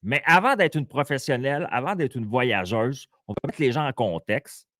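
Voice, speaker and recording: A playful Québécois man hosts a live podcast from a small studio. His voice swings widely in pitch as he teases and exclaims. The sound is close and dry. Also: expressive, moderate pace, fairly bright tone, no room sound. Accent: Québécois French. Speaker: podcast host. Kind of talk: lively live podcast interview.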